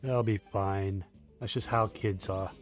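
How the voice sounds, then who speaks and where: A man's voice: lowish voice, steady tone, measured pace, faint music behind. A man giving casual, laid-back reaction commentary over a game he is streaming, in brief remarks.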